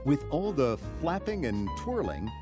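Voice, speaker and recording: A man's voice: a little high, sing-song, unhurried, music in the background. A man reading scripted narration for a children's read-along story.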